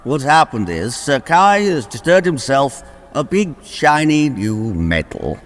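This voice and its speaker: A man's voice: medium pitch, sing-song, takes it slowly. A man playing a weary, sardonic, stately king in a radio play, with hall reverb. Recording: long cavernous hall reverb.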